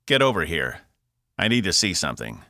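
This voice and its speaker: A man's voice: deep voice, sing-song, brisk, pushed and bright, no echo, clean studio sound, heavily acted. A man voicing a determined, curt space-crew engineer who gives orders under pressure in an audiobook. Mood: curt, commanding. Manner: curt, commanding.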